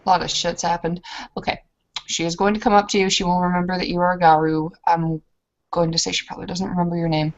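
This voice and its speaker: A woman's voice: fairly low pitch, little pitch movement, quick pace, lo-fi sound. A woman narrating a roleplaying scene casually, with a hesitant, informal way of talking.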